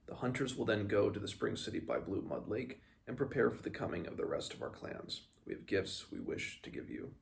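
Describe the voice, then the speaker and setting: A stern, haughty clan ruler of the mountains read by a man speaks formally and with command. The storybook voice sits low and has some gravel in it. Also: big pitch swings, a little fast, few pauses, heavily acted. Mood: formal decree.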